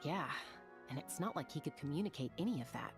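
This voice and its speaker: A woman's voice: deep voice, expressive, fast, over music. A woman voicing a thoughtful, friendly young woman in an anime game. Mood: thoughtful, approving.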